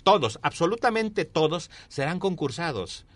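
A man's voice: a little high, big pitch swings, normal speed, fairly bright tone. A middle-aged man with a Mexican accent answering interview questions as a political candidate, emphatic and repeating key phrases for weight. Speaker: mayoral candidate and former newspaper columnist being interviewed. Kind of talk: interview answers, campaign pitch.

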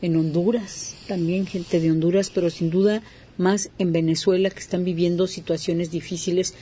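A woman's voice: fairly low pitch, steady tone, normal speed, mellow, breathy edge. A woman hosting a talk show, conversational and full of little fillers.

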